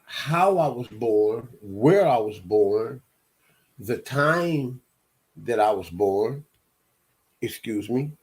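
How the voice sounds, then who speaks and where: A man in his fifties from Detroit, hosting his own show and telling his life story in a slow, halting monologue full of restarts and repeated words. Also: mid-range voice, animated. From Detroit, USA. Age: 50s. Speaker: show host. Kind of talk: personal monologue.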